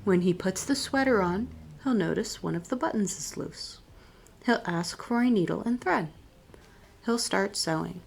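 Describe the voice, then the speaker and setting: Woman reading a picture book aloud. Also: medium pitch. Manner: clear, deliberate picture-book reading with expressive emphasis. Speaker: children's librarian, storytime host. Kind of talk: read-aloud storytime narration.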